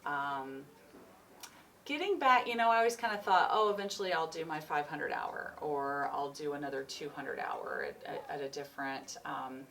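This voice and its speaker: Low gravelly woman in her forties. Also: moderate pace, a bit bright. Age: 40s. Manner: rambling, free-spirited, laughs often. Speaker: interviewee, chiropractor and yoga teacher. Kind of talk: casual interview chat. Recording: distant mic with faint noise.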